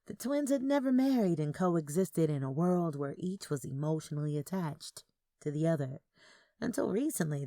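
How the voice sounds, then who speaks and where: A woman's voice: low voice, gravelly, mellow, no echo, clean studio sound. A woman narrating a first-person audio story in a dramatic reading style.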